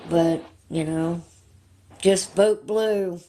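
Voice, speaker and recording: Slow, hesitant woman in her forties. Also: fairly low pitch, steady tone, mellow, slight background noise. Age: 40s. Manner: hesitant, filler-heavy. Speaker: caller. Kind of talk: casual chat.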